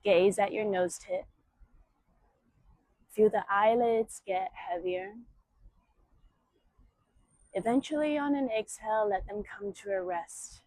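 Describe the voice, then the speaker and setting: A woman teaching yoga, guiding meditation and breathing in a soothing, measured way with long pauses between short phrases. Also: mid-range voice, darkish tone, no room sound, low-quality recording. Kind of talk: guided meditation and breathing instruction.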